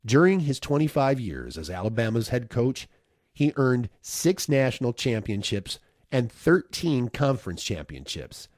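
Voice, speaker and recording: A man reading a nonfiction audiobook as narrator, in a measured, instructive way. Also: medium pitch, big pitch swings, darkish tone, no echo, studio quality.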